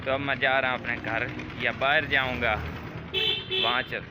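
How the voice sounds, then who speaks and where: A man's voice: medium pitch, flat delivery, moderate pace, noisy background. Man vlogging through a village. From Pakistan. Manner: chatty, addresses viewers directly. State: slightly sore throat. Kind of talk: casual vlog walking through a village. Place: outdoors in a village lane.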